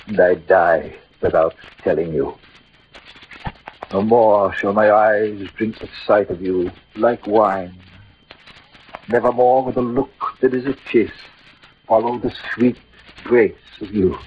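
An actor playing an ailing, gallant middle-aged swordsman-poet who hides his love, in a halting, theatrical old radio drama style. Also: deep voice. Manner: theatrical, halting, poetic delivery. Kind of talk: 1940s-50s radio drama acting. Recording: muffled, noisy old radio recording.

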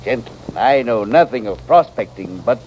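An actor playing a pompous little financier with florid, oratorical speech, in an old-time radio western. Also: male voice, mid-range voice, expressive, normal speed, a little mellow, natural voice. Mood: grandiose. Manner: florid, oratorical, name-dropping. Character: pompous, grandiose little financier and smooth-talking swindler. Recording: noisy vintage radio recording.

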